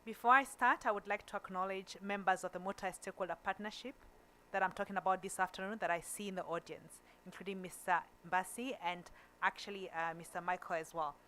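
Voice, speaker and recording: A woman, a Kamba speaker, presenting at a conference in a voice of middling pitch. She speaks deliberately and takes her time, stumbling and restarting words now and then. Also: fairly even intonation, pushed and bright, slight background noise. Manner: deliberate and slow, with fillers and restarts.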